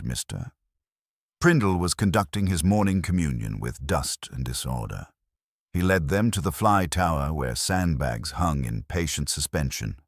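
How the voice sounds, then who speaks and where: A man reading a scripted mystery story as a narrator, in a measured, literary manner. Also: a bass voice, no echo, studio quality. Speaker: audiobook narrator.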